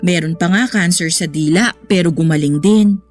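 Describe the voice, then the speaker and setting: A woman's voice: fairly low pitch, moderate pace, soft background music, close to an everyday voice. Earnest, reassuring young woman, played by an actress. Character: caring, sensible young woman consoling her troubled boyfriend. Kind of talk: radio drama acting.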